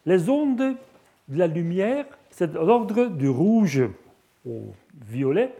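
A man's voice: high voice, very animated, slow, vocal fry, dark tone. A middle-aged man with a Flemish accent, a native Dutch speaker giving a science lecture in French, hesitant, with frequent fillers and restarts. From Belgium (Flanders).

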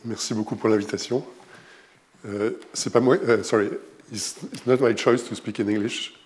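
A man's voice: lowish voice, steady tone, brisk, a little mellow, a little hiss or hum. Older German-accented man lecturing, hesitant. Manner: hesitant, many fillers and restarts. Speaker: guest speaker at a physics seminar. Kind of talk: academic lecture.

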